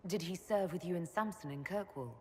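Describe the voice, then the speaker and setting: Actress as very low-voiced, composed heroine. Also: female voice, fairly quick, a little mellow, close to an everyday voice. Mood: questioning. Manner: composed, direct, formal. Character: composed, authoritative female leader, a mage heroine. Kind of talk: dramatic video game cutscene acting. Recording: hall reverb with background noise.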